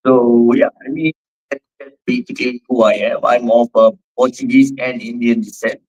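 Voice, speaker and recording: A man's voice: mid-range voice, steady tone, normal speed, darkish tone, low-quality recording. A man in his forties with a Singaporean accent, a fitness trainer answering interview questions in a rambling way with many fillers. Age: 40s. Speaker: guest, fitness trainer and gym owner.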